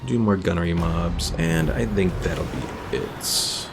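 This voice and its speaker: Male game streamer, very creaky low voice, casual explanatory commentary over faint game music. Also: slow pace. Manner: thinking aloud, explaining game mechanics. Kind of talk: casual live gameplay commentary.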